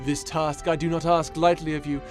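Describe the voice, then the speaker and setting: A man's voice: a little high, flat delivery, brisk, music in the background, lightly acted. A man playing a snarky, blunt, irreverent space adventurer in an audio roleplay drama. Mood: mocking. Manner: sarcastic, blunt, casual wording.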